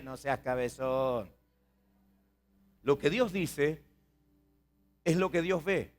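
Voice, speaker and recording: A man with a Rioplatense Spanish accent preaching a sermon as a pastor, conversational and repetitive, putting questions to his listeners. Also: high voice. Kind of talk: sermon to a congregation.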